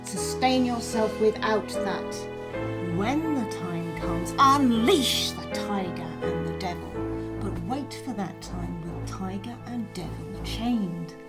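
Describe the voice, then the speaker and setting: An actress playing a fierce, patient, vengeful revolutionary wife in a theatrical period drama, speaking with deliberate, commanding rhetoric. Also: female voice, medium pitch. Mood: steely conviction. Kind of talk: dramatised classic novel, theatrical acting. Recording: loud guitar music behind.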